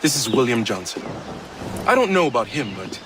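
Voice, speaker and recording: An actor playing a blunt, hearty colonial soldier comrade in a video game, speaking frankly and familiarly. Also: male voice, medium pitch, sing-song, a little fast, natural voice. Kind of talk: video game cinematic acting. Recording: noisy game ambience behind the voice.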